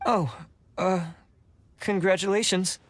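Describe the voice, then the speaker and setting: A man playing a hesitant, mild and agreeable junior candidate in a video game, halting and timid. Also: sits high, steady tone, no echo. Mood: awkward.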